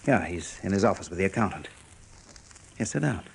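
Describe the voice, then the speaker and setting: Young professional, radio drama. Easy, polite, lower voice, slight recording noise. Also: male voice, animated, brisk, creaky. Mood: relaxed. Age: young adult. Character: composed, successful young American professional man.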